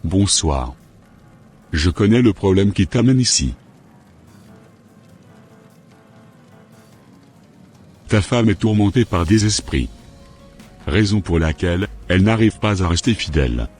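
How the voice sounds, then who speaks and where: A menacing occult healer played by a man in a homemade cartoon. The voice is very low and rough-edged, giving orders with deliberate, domineering authority. Soft music plays behind it. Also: expressive. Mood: solemn, knowing. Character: menacing, domineering male spiritual master, a sinister occult healer.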